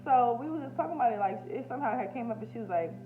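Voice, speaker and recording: A woman's voice: fairly high pitch, lively intonation, very fast, phone-quality sound, over music. An American woman talking off the cuff in a personal vlog, candid, emotional and slangy, with plenty of swearing. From United States. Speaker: YouTube spiritual healer and host. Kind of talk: casual, unscripted personal vlog.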